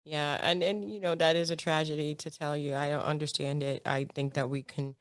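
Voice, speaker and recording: A woman's voice: low-pitched, level tone, a little fast, dry recording. A woman hosting a radio interview show, effusive and enthusiastic as she asks her questions.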